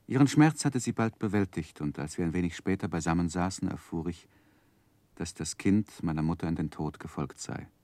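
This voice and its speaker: An actor playing a grown man who soberly recalls his childhood, narrating a 1960s radio play in a measured, reflective way. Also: low-pitched, dark tone, no room sound.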